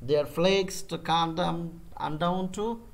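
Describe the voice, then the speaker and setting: A Bangladeshi man speaks halting English on a video call, stopping often to restart his sentences. His voice sits a little high for a man and has a gravelly, creaky edge. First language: Bengali. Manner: halting, many fillers and restarts. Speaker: digital marketing freelancer.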